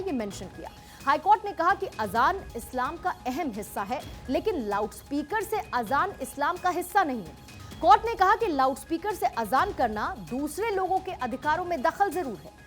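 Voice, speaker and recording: A woman's voice: sits high, a bit bright. A woman news presenter delivering a scripted explainer in a broadcast narration style.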